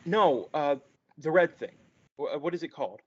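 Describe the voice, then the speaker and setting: A man's voice: a little high, expressive, moderate pace, natural voice. A man reading a naive, sheltered young man at a play table read, hesitant and stammering. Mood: earnest. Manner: hesitant, stammering, wide-eyed. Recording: echoey room, muffled lo-fi.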